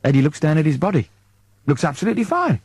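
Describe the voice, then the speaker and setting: A male audiobook narrator tells a comic science-fiction story in the present tense. His voice is mellow with animated pitch, measured and expressive, recorded close and dry. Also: creaky, slightly rough, no echo.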